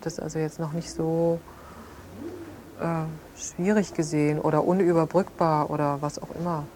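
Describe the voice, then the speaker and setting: Actress as even, low-voiced reflective woman, noisy background. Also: moderate pace, natural voice. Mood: reflective, subdued. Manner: reflective, hesitant. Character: measured, understanding woman recalling a fractured friendship. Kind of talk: naturalistic interview-style radio drama. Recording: clearly noisy ambient location sound.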